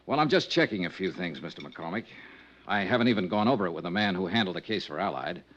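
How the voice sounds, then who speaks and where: An actor playing a tough freelance insurance investigator in a 1950s radio drama, brisk and matter-of-fact, also narrating. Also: male voice, low-pitched, expressive, fairly bright tone. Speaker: narrator and lead. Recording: dull old radio transcription.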